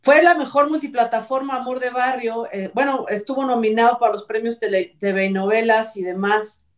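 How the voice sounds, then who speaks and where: A woman's voice: on the high side, steady tone, brisk. A middle-aged woman with a Mexican accent co-hosting an entertainment talk show, chatty and opinionated, giving casual news commentary. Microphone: video call. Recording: distant mic on video call.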